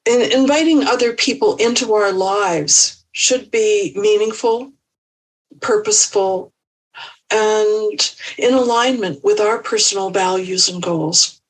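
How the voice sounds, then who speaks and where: A woman speaking as an empowerment coach in an interview, measured and thoughtful with small restarts. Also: mid-range voice, recorded from a distance, rough recording. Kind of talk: reflective interview answers.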